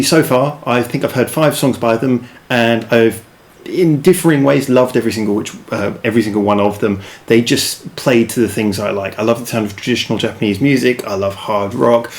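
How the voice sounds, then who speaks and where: A man reviewing a song in a casual, rambling way, self-deprecating and full of restarts. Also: medium pitch. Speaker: music reaction reviewer. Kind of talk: casual chat.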